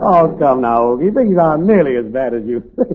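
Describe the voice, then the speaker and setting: An actor playing an excitable, protective middle-aged father in a 1940s radio comedy, blustering on a noisy, tinny old recording. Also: fairly high pitch, fairly quick, close to an everyday voice. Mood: amused.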